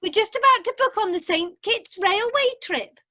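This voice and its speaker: A woman's voice: very high voice, lively intonation, normal speed, bright, forceful tone, no echo, clean studio sound, full character voice. A woman playing an eager, chatty cruise passenger in an amateur radio drama. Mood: cheerful, chatty. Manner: eager, sociable. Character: chatty, sociable woman passenger from the Welsh valleys.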